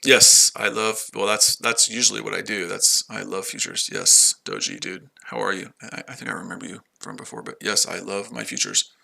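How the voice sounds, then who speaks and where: Gravelly, bright-voiced American trader. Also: male voice, lowish voice, lively intonation, normal speed, few pauses. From United States. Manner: rambling, repeats himself, chats with viewers. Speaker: livestream trader. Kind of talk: casual livestream chat.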